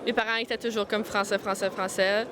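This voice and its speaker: A woman's voice: mid-range voice, little pitch movement, brisk, crowd noise. A young woman with a Canadian French accent talking casually, full of filler words. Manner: casual, lots of "comme".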